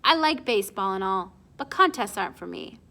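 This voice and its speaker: A woman's voice: mid-range voice, animated, a little fast, vocal fry, pushed and bright. A woman voicing an eager schoolboy in a storybook, casual and youthful while nervously trying to sound nonchalant. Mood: feigned casual.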